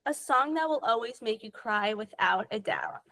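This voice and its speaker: A young woman hosting a podcast, asking quick rapid-fire questions in an upbeat, chatty way. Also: on the high side, lively intonation, pushed and bright. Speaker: podcast host. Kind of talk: casual interview.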